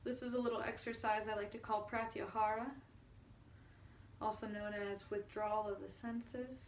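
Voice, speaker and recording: A woman speaking as a spiritual healer and meditation guide, addressing the listener in a halting way with long pauses and drawn-out words. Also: medium pitch, flat delivery. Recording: noticeable background noise.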